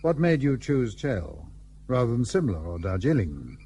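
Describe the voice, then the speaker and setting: A man acts an older hotelkeeper from colonial days, polite and full of questions. His voice is mellow and rises and falls freely in an old-fashioned radio play manner. There is a faint hum behind it. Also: mid-range voice, big pitch swings, moderate pace, lightly acted. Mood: curious. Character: courteous, inquisitive older colonial hotelkeeper, a father. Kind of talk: period radio drama acting.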